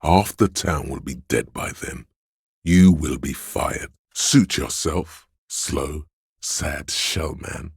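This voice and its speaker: A man voicing a seductive, hedonistic inner voice of craving in a video game, gravelly and husky, coaxing slyly and savouring his words. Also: a bass voice, slow pace, no room sound, clean studio sound, exaggerated voice. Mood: scornful, goading. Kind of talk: dramatic video game narration.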